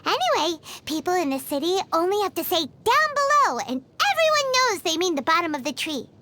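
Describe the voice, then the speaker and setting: Game fairy sidekick: childlike, chirpy, easily flustered, sky-high squeaky pitch with bouncy intonation. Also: female voice, big pitch swings, brisk, sharp tone, slight background noise, heavily acted. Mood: chatty, thoughtful. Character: tiny excitable childlike fairy companion girl, chatty and naive. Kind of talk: video game character acting.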